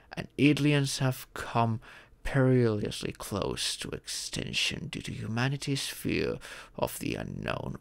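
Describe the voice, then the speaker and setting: Slow, gravelly fatherly dragon played by a man. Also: medium pitch, a bit bright, no room sound, exaggerated voice. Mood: grave, instructive. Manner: measured, formal, storyteller-like lecturing. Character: wise fatherly male dragon, patient teacher to young dragon. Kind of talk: scripted roleplay audio, ASMR storytelling.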